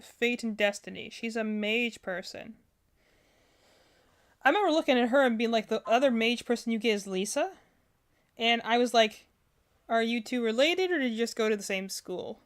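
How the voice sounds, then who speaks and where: A woman with a slightly high voice talks casually as a streamer reacting to game videos. Her commentary is unscripted and rambling, as she thinks out loud through each opinion. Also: clear, resonant tone.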